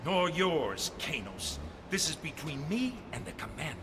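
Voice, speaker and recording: An actor playing a hot-headed, scornful rebel soldier with a menacing, sneering manner in an audio drama. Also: male voice, fairly high pitch, sing-song, measured pace, noisy background. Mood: sneering menace. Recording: loud music under the voice. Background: dramatic score.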